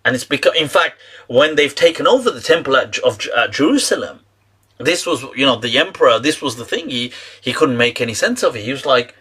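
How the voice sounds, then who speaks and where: A man giving a casual lecture as a religious commentator, animated and full of restarts, laughing at the claims he disputes. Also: mid-range voice, fairly quick, husky.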